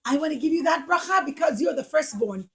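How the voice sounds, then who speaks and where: A woman's voice: high-pitched, expressive, a little fast, voice pushed up. A woman voicing an old, loving father in a children's puppet show, speaking solemnly and emphatically as he gives his blessing.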